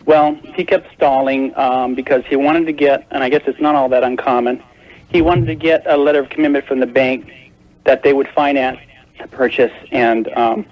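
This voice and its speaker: A man's voice: medium pitch, steady tone, moderate pace. A man calling a radio show, explaining his problem in a careful, wordy, hesitant conversational way. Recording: dull lo-fi call on radio tape.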